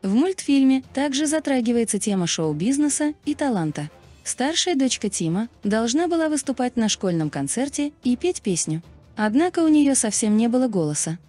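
A woman's voice: a little high, sing-song, normal speed, dark tone. A woman reading a scripted explainer narration as a narrator. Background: background music.

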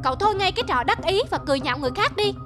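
A woman's voice: extremely high pitch, animated, racing, over music, voice pushed up. A woman playing a defiant, wounded little schoolgirl in a cartoon. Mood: indignant. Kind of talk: cartoon dialogue.

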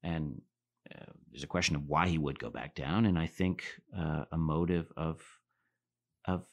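A middle-aged man hosting a philosophy podcast, giving thoughtful lecture-style commentary and reading passages aloud, lifting his voice for quotes and dropping low at the ends of phrases. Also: steady tone, unhurried, vocal fry.

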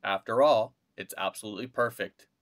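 A man's voice: fairly low pitch, a little fast, close to an everyday voice. A man voicing an earnest, supportive mouse father in a storybook, with an emphatic, declaratory delivery.

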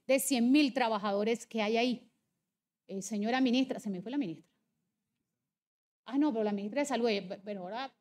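A woman in her forties with a Barranquilla Caribbean Colombian accent, delivering an insistent, emphatic political debate speech as a legislator. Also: a little high. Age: 40s.

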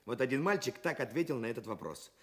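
A man presents a children's radio programme with a very mobile, animated voice. His delivery is scripted and deliberate, shaped like storytelling for schoolchildren. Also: mid-range voice. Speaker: children's radio show host. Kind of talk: scripted Soviet radio show for schoolchildren, reading letters aloud.

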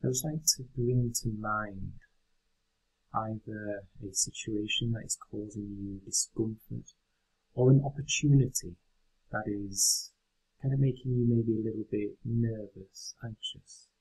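A man leading a guided meditation in a gentle, unhurried, instructional way. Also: on the low side, steady tone, husky, low-quality recording.

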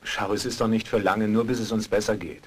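An actor playing an affectionate young husband in a German horror audio drama. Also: male voice, lowish voice, fairly even intonation, brisk, breathy edge. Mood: reassuring. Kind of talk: German horror radio play acting.

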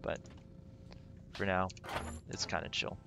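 A man streaming a game, rambling casually and thinking aloud with lots of fillers, his voice going raspy. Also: takes it slowly, background noise. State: voice getting raspy. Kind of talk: casual gameplay livestream commentary.

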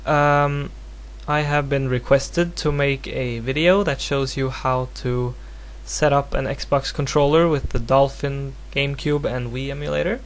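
A man from Norway speaking English as a second language with a Norwegian accent, giving a casual, unscripted software tutorial in a hesitant, rambling, self-deprecating way. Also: mid-range voice, steady tone, unhurried. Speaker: tutorial presenter. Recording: home recording with clear background noise and hum.

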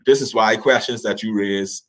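A Bahamian man in his thirties talks as an interview guest over a video call. His voice is husky, and he explains his points with emphasis, often checking that the listener follows. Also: on the low side, fairly even intonation, moderate pace, fairly bright tone. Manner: emphatic, checks in with rhetorical questions. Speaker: guest historian. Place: indoors, in front of a draped backdrop, on a video call.

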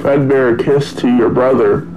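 A man's voice: medium pitch, steady tone, moderate pace, warm tone, faint music behind, close to an everyday voice, low-quality recording. A male performer voicing a cocky teenage bully egging on his friends in an amateur animation. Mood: teasing.

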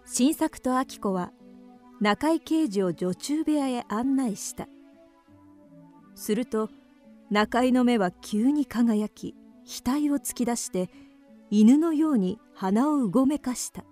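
A woman's voice: fairly high pitch, expressive, slow pace, mellow, faint music behind. A woman narrating a radio drama from a script.

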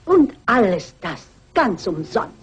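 A woman's voice: medium pitch, big pitch swings, slow pace, slightly rough, exaggerated voice. An actress playing a shrewd, composed mature lady sleuth in a German radio crime drama, dry, precise and dignified, in a dull old recording. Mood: calm, accusing.

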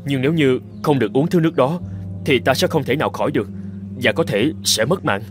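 A fast-talking male cartoon chieftain, a schemer on the run, acted by a man. His medium-pitched voice has a dramatic fairy-tale delivery. Music plays clearly behind him. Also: close to an everyday voice. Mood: feigned pleading.

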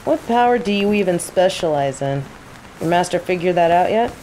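Woman acting as cocky female plant mage, roleplay audio drama, teasing drawl, soft mellow tone, rain in the background. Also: lowish voice, close to an everyday voice, rough recording. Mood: casual, instructive. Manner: teasing, playful, unhurried drawl. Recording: steady rain ambience noise. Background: falling rain.

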